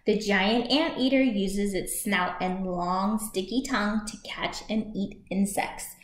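A woman in her thirties reading a picture book aloud for young children as a storytime host, expressive and clearly paced. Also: mid-range voice, slow pace. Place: small room at home, indoors by a shuttered window. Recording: echoey home room.

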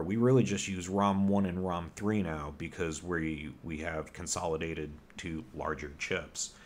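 Low gravelly man explaining a hardware mod. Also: slight background noise. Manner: rambling, self-deprecating, loose and informal. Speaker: electronics hobbyist host. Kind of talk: casual technical walkthrough. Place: home workbench with computer gear.

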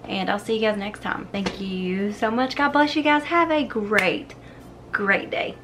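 A woman's voice: medium pitch, a little slow, fairly bright tone, a little hiss or hum. A young woman in her twenties chatting casually while cooking in her home kitchen, filmed on a camera set on the counter. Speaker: cooking vlogger.

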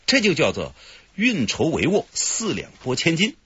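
A boastful, jovial police detective. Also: male voice, fairly high pitch, wildly swooping pitch, normal speed, a bit bright, husky, lo-fi sound, heavily acted. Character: cheerful, boastful middle-aged male police detective. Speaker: police detective leading the operation. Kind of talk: broadcast radio drama acting.

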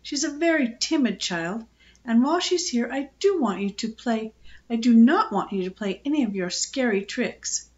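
A woman voicing a no-nonsense woman of a farmhouse in a children's storybook, brisk and stern. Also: a little high, expressive, dull audio, lightly acted. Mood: cross, firm. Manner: brisk, stern, matter-of-fact. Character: firm, sensible, no-nonsense woman who keeps a farmhouse.

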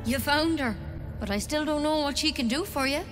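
A young woman druid healer from a fantasy game , played by an actress, brisk and caring. Her fairly high voice sounds matter-of-fact and inquisitive, with a long reverb and music behind. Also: lightly acted. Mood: focused, caring. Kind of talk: naturalistic fantasy game acting.

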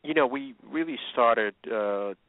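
A man born in Russia, a musician guest telling stories over the phone with many pauses and fillers. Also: mid-range voice, no room sound. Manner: relaxed storytelling with frequent fillers. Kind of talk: phone-in interview. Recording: tinny phone line.

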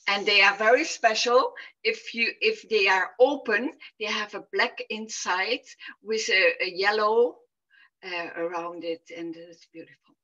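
An older woman from Austria, a German speaker with an Austrian accent, speaking haltingly on a video call as she searches for words. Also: mid-range voice, pushed and bright. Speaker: participant in an online women's group. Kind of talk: personal check-in sharing, conversational. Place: indoor room at home, on a video call. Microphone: computer mic on video call. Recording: dull, muffled video-call audio.

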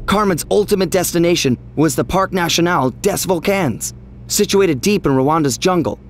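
An actor playing an eager, determined young detective agent in a video game, earnest and bold. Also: male voice, fairly high pitch, moderate pace, noisy background, close to an everyday voice. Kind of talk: animated game acting. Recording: voice under loud music.

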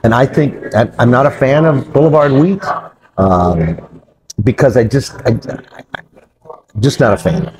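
A man's voice: mid-range voice, lively intonation, a little slow, long pauses, background noise. Older man chatting in a rambling way. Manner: rambling, circles back over phrases. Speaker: co-host of a beer review show. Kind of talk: casual unscripted chat. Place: brick-walled pub, indoors at a table.

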